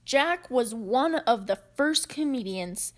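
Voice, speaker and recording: A woman's voice: fairly high pitch, animated, takes it slowly. A woman narrating a video essay as host, scripted but with casual asides. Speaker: host narrating a documentary on comedy history.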